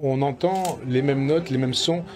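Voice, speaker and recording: A man's voice: mid-range voice, a little slow, darkish tone. A male moderator with a medium voice steers a televised panel, prompting the guests with guiding questions. He keeps the discussion moving. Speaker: host, moderator.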